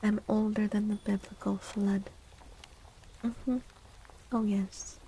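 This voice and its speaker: A woman speaking softly and breathily, often near a whisper, in an unhurried, gentle ASMR livestream chat. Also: medium pitch, flat delivery, slight background noise, poor audio. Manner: gentle, slow, unhurried, addressing chatters. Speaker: livestream host and tarot reader.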